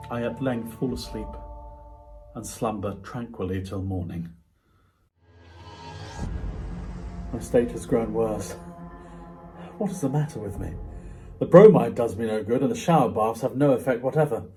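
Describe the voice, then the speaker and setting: An actor plays a tormented man confessing his terrors in a gothic tale. His delivery is theatrical and intense, shifting between hushed unease and agitation. His voice sits at an ordinary male pitch, with soft music underneath. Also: natural voice. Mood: weary, troubled. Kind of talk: dramatic performed reading of classic ghost story. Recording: music behind the voice.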